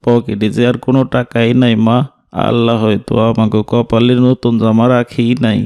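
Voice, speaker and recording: A despairing, poor rickshaw-puller father in a cartoon, played by a man, pleading in a rural Bangladeshi dialect. His gravelly voice barely rises or falls. The sound is close and dry. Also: medium pitch, flat delivery, normal speed, darkish tone, no echo, close to an everyday voice. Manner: lamenting, pleading.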